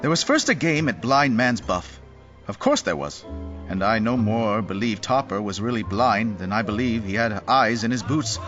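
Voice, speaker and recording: A man's voice: fairly low pitch, expressive, normal speed, a bit bright. A man narrating a dramatised story in a wry, theatrical manner. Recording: dull audio with music behind.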